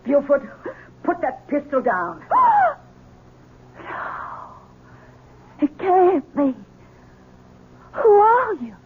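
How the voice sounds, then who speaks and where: A haughty, indignant lady in an old radio melodrama. Her very high, breathy voice moves slowly and imperiously. The recording is muffled. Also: sing-song, a little hiss or hum, full character voice. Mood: shocked, frightened. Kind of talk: radio drama acting.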